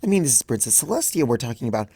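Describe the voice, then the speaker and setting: A man voicing a frazzled, bookish young female pony scholar who fusses and quickly flies into a panic. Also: sing-song, mellow, breathy edge, no room sound. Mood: anxious. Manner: high-strung, rushes and fusses, flies into panic.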